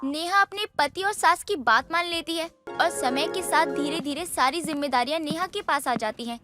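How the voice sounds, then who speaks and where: High-pitched woman narrating a story. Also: moderate pace, over music. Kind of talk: scripted bedtime story narration.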